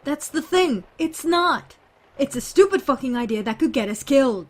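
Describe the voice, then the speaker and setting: A woman playing a hot-tempered, foul-mouthed, skeptical survivor in an audio drama, biting and confrontational, with a husky voice. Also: high voice, lively intonation, moderate pace. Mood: blunt. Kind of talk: fan audio drama acting.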